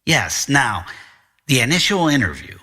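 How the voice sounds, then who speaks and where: A man's voice: medium pitch, very animated, measured pace, pushed and bright, heavily acted. A man voicing a genial, evasive school counselor who talks in a leisurely, mannered, circling way in an audiobook story. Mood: polite, officious. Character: genial, evasive male school counselor, self-styled concierge. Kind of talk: audiobook character dialogue.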